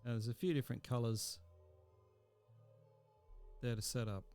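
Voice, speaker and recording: A man giving an informal software tutorial as a teacher, explaining each step as he goes. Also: medium pitch, steady tone, normal speed, faint music behind.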